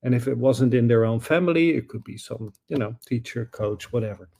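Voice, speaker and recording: A middle-aged man with a Dutch accent and Dutch as his first language, a professor answering interview questions thoughtfully, pausing and restarting as he searches for words. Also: mid-range voice, vocal fry, mellow. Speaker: guest, professor and author.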